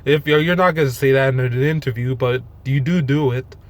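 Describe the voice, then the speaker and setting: A man giving an unscripted, rambling rant in a monotone, halting way, blunt and self-deprecating with casual swearing. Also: medium pitch. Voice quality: monotone delivery. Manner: halting, rambling, loosely strung thoughts. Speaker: fitness vlogger.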